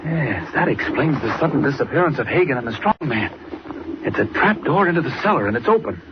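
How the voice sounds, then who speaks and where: An actor with an American accent playing a brisk, resolute investigator hero in a 1940s radio serial, urgent and authoritative. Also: male voice, medium pitch, lively intonation, natural voice. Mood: intent. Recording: muffled, very noisy old radio recording.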